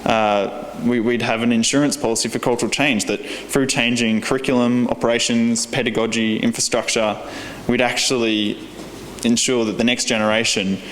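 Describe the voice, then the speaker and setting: A young Australian man in his early twenties gives a conference keynote in an earnest, halting way, with many fillers and restarts. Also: mid-range voice, flat delivery, a bit bright, a little hiss or hum. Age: young adult. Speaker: keynote speaker. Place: large convention hall. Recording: echoey convention hall.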